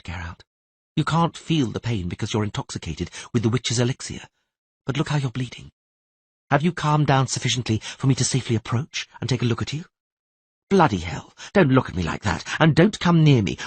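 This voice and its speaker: A man's voice: medium pitch, sing-song, moderate pace, slightly rough, dry recording, pitched above the performer's own voice. A man voicing a commanding sorceress in a fantasy audiobook, urgent, insistent and defensively righteous. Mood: concern, then tense warning. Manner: insistent, self-justifying.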